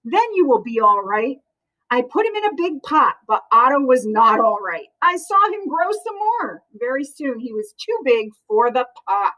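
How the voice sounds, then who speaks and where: A woman reading a children's picture book aloud in an expressive, animated way, as if to young listeners. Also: high voice, normal speed, hardly any pauses, poor audio.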